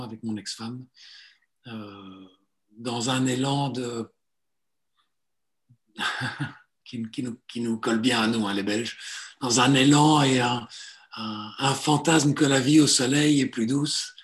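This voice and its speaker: A man in his forties, a psychotherapist and coach, telling his life story in a relaxed interview, hesitant with many fillers and restarts. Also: mid-range voice, a little slow, pauses often. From Italy. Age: 40s.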